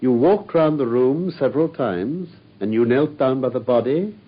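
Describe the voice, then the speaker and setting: Commanding sharp detective, lively husky delivery, lo-fi old radio play; brisk and incisive. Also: male voice, mid-range voice. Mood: probing. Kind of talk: 1950s BBC radio drama acting. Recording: dull lo-fi old radio broadcast.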